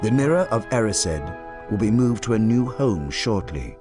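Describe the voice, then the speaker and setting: A man's voice: on the low side, very animated, moderate pace. A man voicing a wise, kindly old wizard headmaster in a storybook narration. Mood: measured, wise. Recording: music behind the voice.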